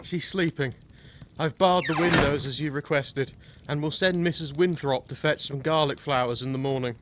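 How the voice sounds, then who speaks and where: An actor playing an earnest young gentleman in a gothic audio drama, solemn and measured as he tells the tale. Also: on the high side, very noisy, natural voice. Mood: troubled. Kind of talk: audio drama narration and acting.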